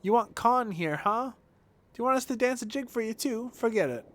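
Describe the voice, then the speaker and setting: A man's voice: very high-pitched, expressive, brisk, fairly bright tone, pitched above the performer's own voice. A man voicing a brash, indignant boy who leads a street gang in a video game, slangy and blustering. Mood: mocking. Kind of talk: video game dialogue read aloud.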